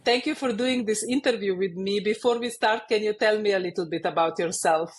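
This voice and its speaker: A woman's voice: medium pitch, fairly quick. Woman host asking interview questions. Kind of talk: scripted introduction and interview questions.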